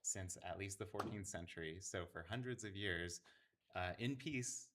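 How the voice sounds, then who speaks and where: A man's voice: low voice, normal speed, background noise. A man talking earnestly in a casual conversation, thinking aloud with fillers and restarts.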